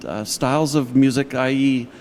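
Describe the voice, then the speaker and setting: An interviewer asking a guest questions in an upbeat, friendly way, with halting phrasing. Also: mid-range voice, a little mellow, long reverb tail, slight background noise. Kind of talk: casual interview chat.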